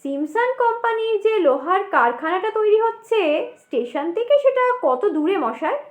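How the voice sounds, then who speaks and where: A woman's voice: very high-pitched, sing-song, measured pace, reverberant, pitched above the performer's own voice, heavily acted. A woman playing an anxious, talkative poor man in a horror audio drama, polite and pleading in conversation. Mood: uneasy curiosity. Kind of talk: audio drama dialogue acting.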